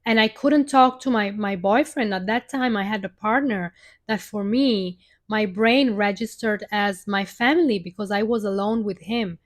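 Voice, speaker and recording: A woman's voice: mid-range voice, moderate pace. A young woman with an Italian accent, a native Italian speaker, telling her own story on a live stream in an earnest way, repeating and restarting phrases as she goes. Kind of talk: personal storytelling monologue.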